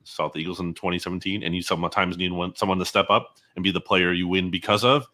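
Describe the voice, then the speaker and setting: Low-pitched, bright-voiced man analyzing football. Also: fairly even intonation, fast. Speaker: sports analyst guest.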